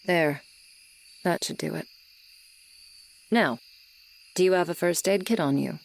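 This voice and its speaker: A gruff tsundere swamp monster girl, acted by a woman for an ASMR roleplay. She speaks slowly in a slightly low voice, bossy and exasperated with a caring streak underneath. Faint insect ambience sits behind her. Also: a little hiss or hum. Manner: exasperated, bossy, scolding, deliberate.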